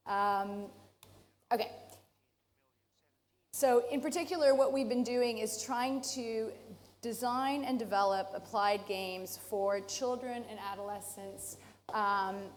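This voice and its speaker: A woman from Canada with a Canadian accent giving a conference talk as a professor, relaxed and self-deprecating, with many fillers. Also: mid-range voice. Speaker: professor, speaker. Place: conference hall. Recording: reverberant hall, faint noise.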